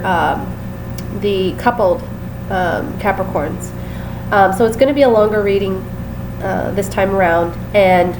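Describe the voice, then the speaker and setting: A woman with a stuffy, nasal voice from a sinus infection talks through a tarot reading in a relaxed, apologetic way, filling gaps with "um" and "uh". Her voice sits mid-pitched, and a clear steady hiss runs under the home recording. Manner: apologetic, chatty, many fillers. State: sick with a sinus infection, sniffly. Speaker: tarot reader. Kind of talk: casual tarot reading to camera.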